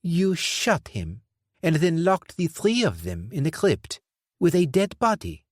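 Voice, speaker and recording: Man doing a sneering crime boss, high voice, slow deliberate questions, mocking, dry close sound. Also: huge pitch swings, no echo, exaggerated voice. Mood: sneering, cold. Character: sneering, menacing male crime boss, cold and sarcastic.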